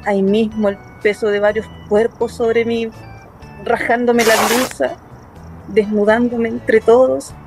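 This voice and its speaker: A woman's voice: fairly high pitch, little pitch movement, unhurried, natural voice. A woman playing a young working-class Chilean daughter in a dramatic first-person radio play. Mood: haunted, tense. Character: young working-class daughter recounting her assault, wounded, bitter. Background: music.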